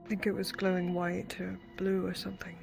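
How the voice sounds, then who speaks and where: A woman's voice: fairly low pitch, monotone, fairly quick. A woman playing a wry, foul-mouthed, wary female fighter for hire in live fantasy roleplay. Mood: defensive, unsure. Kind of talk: live improvised fantasy roleplay in virtual reality. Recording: clear background music behind the voice.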